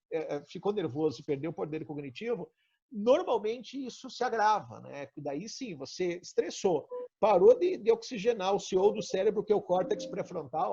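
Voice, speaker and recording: A man's voice: high-pitched, gravelly, dark tone, breathy edge. A Brazilian man coaching in a conversational way on a live stream, explaining ideas and asking probing questions. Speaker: coach and trainer leading a live session. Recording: dull lo-fi online call audio.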